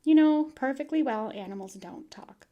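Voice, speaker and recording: A woman's voice: sits high, expressive, moderate pace, dull audio, pitched above the performer's own voice. A woman reading the part of a worried, proper farm mother who asks anxious questions.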